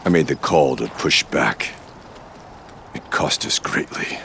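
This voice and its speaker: A stern weary chieftain in a game, super deep and gravelly, talks slow and solemn. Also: male voice, fairly bright tone, a little hiss or hum, heavily acted. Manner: solemn, measured, formal speech. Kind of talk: video game dramatic acting.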